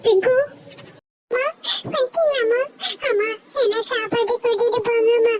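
Scared little girl chick, played by a woman, extremely high and tearful. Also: fairly even intonation, moderate pace, slight background noise. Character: frightened disobedient little girl sparrow chick. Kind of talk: cartoon voice acting.

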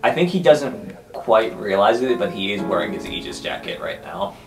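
Man, game master, improvised storytelling, mid-pitched, expressive intonation, faint music behind. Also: normal speed. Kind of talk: improvised tabletop game narration. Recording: echoey room, music underneath.

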